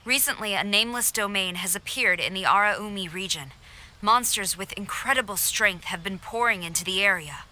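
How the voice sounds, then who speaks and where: An actress playing a stern, dutiful female army general in a video game, speaking in a formal, measured, authoritative way. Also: medium pitch, bright, forceful tone. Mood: grave, formal.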